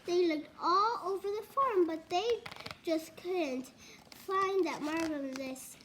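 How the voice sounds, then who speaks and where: A woman reading a children's storybook aloud, sometimes halting and repeating words as she goes. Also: very high-pitched, fairly bright tone, slight background noise. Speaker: story reader.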